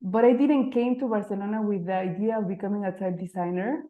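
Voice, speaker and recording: A woman's voice: mid-range voice, fairly even intonation, fairly quick, phone-quality sound. A woman in her thirties from Mexico, a Spanish speaker with a Mexican accent, giving a conference talk in English about her design work, searching for words with fillers and restarts. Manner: hesitant, frequent fillers and restarts, non-native phrasing. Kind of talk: conference presentation. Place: lecture venue.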